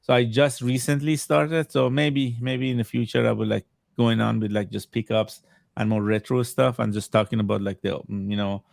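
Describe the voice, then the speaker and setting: A man from Iran, a native Farsi speaker, chats casually as a podcast guest. His voice has a gravelly fry and sits at a middling pitch. He feels his way through English with repeats and stops, in a close, dry sound. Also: flat delivery, a little mellow, dry recording. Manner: halting, repetitive phrasing as a second-language speaker. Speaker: podcast guest, video game collector. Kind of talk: casual chat.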